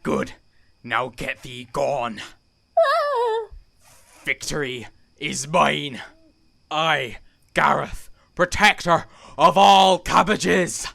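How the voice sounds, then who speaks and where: A woman playing a bombastic, gravelly heroic male guardian in a comic audio drama. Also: low voice, extremely slow, pauses often, a bit bright, exaggerated voice. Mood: triumphant.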